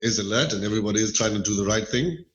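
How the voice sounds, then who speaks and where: A middle-aged man from Malawi, a podcast guest talking in a thoughtful, measured way with hedging asides. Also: on the low side, highs cut off. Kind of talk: casual interview chat. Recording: roomy echo.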